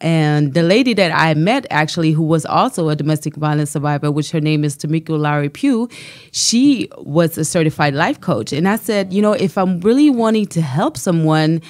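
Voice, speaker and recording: A woman's voice: low voice, expressive, moderate pace, a little mellow, dry recording. A woman from Germany with a German accent, a life coach speaking earnestly and with faith about her business as a radio guest. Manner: earnest, motivational, faith-filled. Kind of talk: radio interview, personal testimony.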